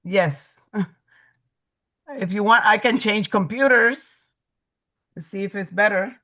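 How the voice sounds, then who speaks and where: A woman's voice: medium pitch, slow pace, bright, forceful tone. A woman court interpreter speaking politely through a built-in computer microphone on a video call.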